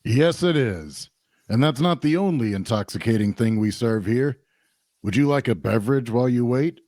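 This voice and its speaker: A man playing a big, guarded tavern barkeep, measured and plain-spoken, in a fantasy audio drama table read. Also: medium pitch, animated, darkish tone, no room sound, lightly acted. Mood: businesslike.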